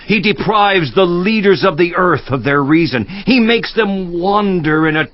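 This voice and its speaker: An anguished, swooping righteous sufferer. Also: male voice, high voice, expressive, a little slow, highs cut off. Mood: grave, awed. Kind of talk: dramatized scripture reading, theatrical monologue.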